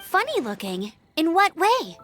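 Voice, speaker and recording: A woman playing an energetic, determined young female scout knight in a video game, earnest and decisive. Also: very high voice, faint music behind, exaggerated voice. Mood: inquisitive, earnest. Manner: earnest, decisive, brisk commands.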